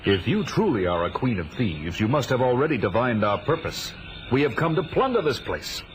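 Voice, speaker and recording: A man's voice: medium pitch, big pitch swings, normal speed, a little hiss or hum, natural voice, low-quality recording. An actor playing a bold, fearless barbarian warrior who tells his adventure solemnly and grandly in an audio drama. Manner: solemn, grandiose, archaic phrasing. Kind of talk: full-cast audio drama, dramatic first-person narration.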